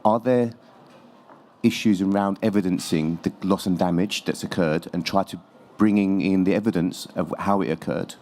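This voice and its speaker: A man's voice: deep voice, darkish tone. A man from an NGO asking a long, hesitant question at a conference session. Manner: long-winded, hesitant phrasing. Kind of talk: audience question. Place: conference pavilion.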